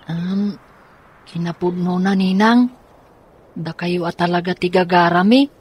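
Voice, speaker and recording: A woman's voice: fairly low pitch, a little slow, a little mellow, slightly rough. A woman playing a polite, earnest school-age child in a radio drama, with an Ilocano accent.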